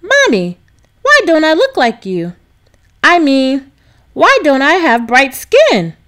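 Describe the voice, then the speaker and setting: A woman's voice: sits high, huge pitch swings, slow pace, a bit bright, heavily acted. A woman voicing an eager, sweet little schoolgirl in a children's storybook. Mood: curious. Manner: exclaiming, eager. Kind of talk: children's storybook read-aloud.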